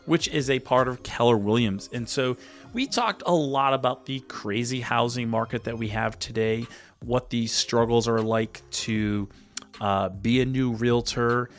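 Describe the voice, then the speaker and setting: Chatty thirty-something man hosting a podcast. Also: mid-range voice. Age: 30s. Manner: rambling, joking, full of fillers and restarts. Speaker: podcast host. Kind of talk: casual chat.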